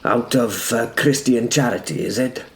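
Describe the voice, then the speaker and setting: A man playing a reflective young knight, telling his story in measured, solemn, hushed dramatic narration with deliberate pauses. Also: close to an everyday voice. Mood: earnest banter. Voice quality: low, gravelly. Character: young male knight William, reflective, brave yet frightened. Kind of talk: scripted first-person dramatic narration. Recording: clear background noise and ambience.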